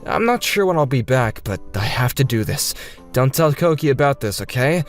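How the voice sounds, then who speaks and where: A man's voice: medium pitch, expressive, normal speed, close to an everyday voice. A man voicing an earnest, solemn father, a wronged but resolute programmer, in a comic dub. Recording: music bed behind the voice.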